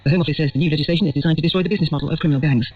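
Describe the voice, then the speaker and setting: A man's voice: on the high side, steady tone, racing, husky. A man reading a scripted news bulletin as a newsreader, heard over the radio. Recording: distant, muffled shortwave radio broadcast.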